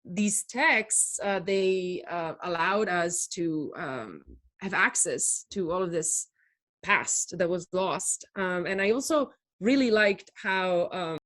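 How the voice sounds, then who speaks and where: A young woman from Italy, a native Italian speaker, answering as a guest in a video podcast interview, thoughtful with frequent pauses. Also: lowish voice, fairly bright tone. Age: young adult. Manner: thoughtful, many fillers, laughs easily. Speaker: podcast guest, doctoral researcher. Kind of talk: conversational interview.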